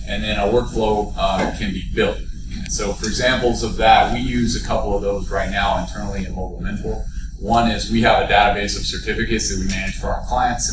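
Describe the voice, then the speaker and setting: A man giving a conference talk from a podium, explaining steadily with plenty of ums and uhs. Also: on the low side. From United States. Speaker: conference presenter. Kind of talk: conference presentation. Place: large conference room. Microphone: podium mic, filmed from far. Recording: echoey room, distant mic, background noise.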